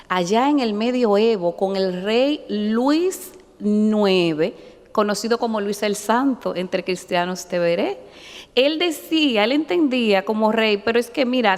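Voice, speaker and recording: Lady judge, formal and kinda nervous, bit high pitched, big echoey hall. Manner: formal, measured, devout asides. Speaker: judge and candidate. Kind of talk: formal hearing testimony. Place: large hearing chamber. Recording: long reverb in a hall.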